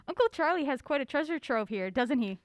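Actress as a high-voiced, lively, eager niece. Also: female voice, a little fast, dry recording. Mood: curious, polite. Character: bright, polite, affectionate young niece on a puzzle hunt. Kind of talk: audio drama acting.

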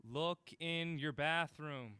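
A man's voice: fairly high pitch, slow, sharp tone, clean tone, no room sound, clean studio sound. A man playing a playful, unruffled friend in an audio drama, teasing and nonchalant with a slight stammer. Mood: teasing, daring. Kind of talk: naturalistic audio drama acting.

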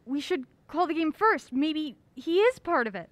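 A woman playing an anxious, urgent heroine in a mystery audio drama, excitable and insistent. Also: high voice, normal speed, no echo. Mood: focused, uneasy. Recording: clearly noisy audio drama track.

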